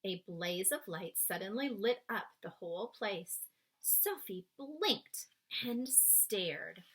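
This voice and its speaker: A woman reading a children's story aloud expressively, with dramatic pauses, speaking to young listeners. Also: mid-range voice, expressive, a bit bright, husky, poor audio.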